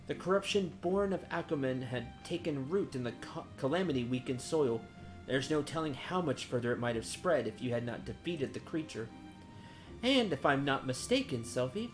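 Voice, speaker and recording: A man's voice: a little high, expressive, lightly acted. Man voicing a solemn, wise fantasy guildmaster over music. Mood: solemn, reassuring. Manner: formal, measured, solemn. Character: calm, wise guildmaster and nature-magic mentor. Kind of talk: reading fantasy game dialogue aloud.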